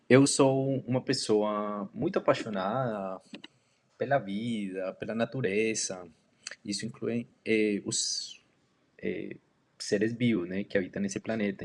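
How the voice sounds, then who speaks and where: A man from Colombia with a Spanish-tinged accent introduces himself and his work as a dog educator, speaking in a measured way with hesitant pauses and drawn-out words. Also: on the low side, a little mellow. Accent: Colombian Spanish accent in Portuguese. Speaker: dog trainer and canine educator. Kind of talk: self-introduction talk to a community.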